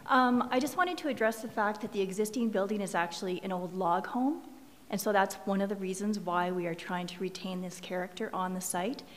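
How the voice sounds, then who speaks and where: A woman's voice: mid-range voice, fairly quick. A woman presenting to a planning commission, explaining her project earnestly while losing her voice. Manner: earnest, explanatory, a little hoarse. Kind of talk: formal public meeting presentation. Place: council chamber. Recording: reverberant meeting room, faint hiss.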